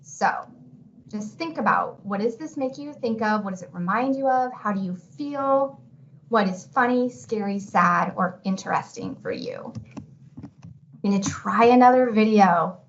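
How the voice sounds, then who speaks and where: A woman's voice: mid-range voice, unhurried, slight background noise. A woman presenting an instructional webinar as an educator, guiding listeners with open-ended questions. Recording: dull lo-fi webinar audio.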